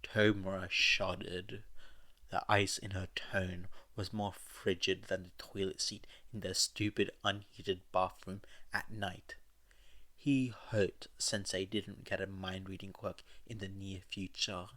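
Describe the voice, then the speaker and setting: A man's voice: sits low, level tone, slow pace, bright, forceful tone. A man narrating fiction as an audiobook reader, with casual asides. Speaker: podfic narrator.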